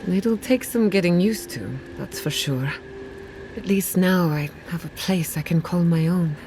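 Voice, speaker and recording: A woman playing a confident, dryly witty scholar in a video game, measured and guarded. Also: lowish voice, background noise, close to an everyday voice. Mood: light, hopeful. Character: driven, wry female scholar, guarded about family loss. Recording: music playing behind the voice.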